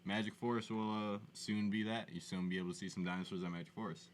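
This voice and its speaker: A man's voice: fairly low pitch, fairly even intonation, fast. A man co-hosting a podcast with casual, slangy, conversational talk. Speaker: podcast co-host. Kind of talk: casual chat.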